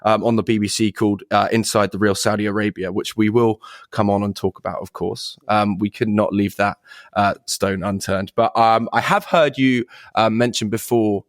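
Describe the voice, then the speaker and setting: A man's voice: on the low side, steady tone, normal speed, no echo, clean studio sound. A man hosting a podcast interview, asking long questions with many hesitations and restarts. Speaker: podcast host.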